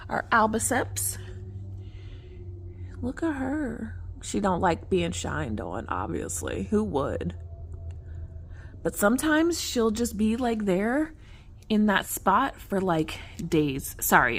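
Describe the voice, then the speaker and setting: A woman's voice: mid-range voice, slight background noise. A woman chatting casually to camera at home while showing her pet tarantulas, at times dropping to a whisper. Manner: chatty and animated, addresses viewers as y'all, drops to whispers near the spiders. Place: home room with tarantula enclosures.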